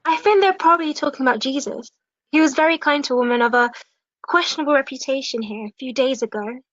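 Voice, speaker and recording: A shy reformed outcast played by a woman in a biblical audio drama. She speaks hesitantly and earnestly in a high voice, heartfelt throughout. The sound is dry and slightly dull. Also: quick pace, a bit bright, dry recording. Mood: helpful. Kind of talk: audio play acting.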